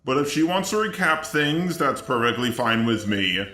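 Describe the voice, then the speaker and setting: A man's voice: medium pitch, big pitch swings, normal speed, bright, forceful tone, roomy sound. A synthetic male robot voice reading scripted video commentary as the host of a channel. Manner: mechanical, evenly scripted delivery. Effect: text-to-speech robot voice. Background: background music.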